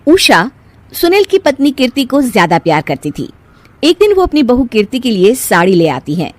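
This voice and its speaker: A woman's voice: on the high side, wildly swooping pitch, normal speed, darkish tone, dry recording. A woman narrating a scripted story as its storyteller.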